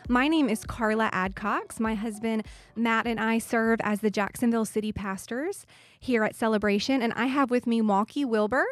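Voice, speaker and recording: A woman's voice: a little high, moderate pace, no room sound, soft background music. Upbeat woman pastor hosting. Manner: upbeat, welcoming. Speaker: pastor and host. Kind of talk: casual interview.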